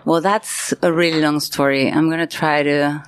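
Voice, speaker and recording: Candid low-voiced Venezuelan woman. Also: fairly even intonation, moderate pace, noisy background. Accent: Venezuelan Spanish. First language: Spanish. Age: middle-aged. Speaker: guest, artist. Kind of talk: casual interview storytelling.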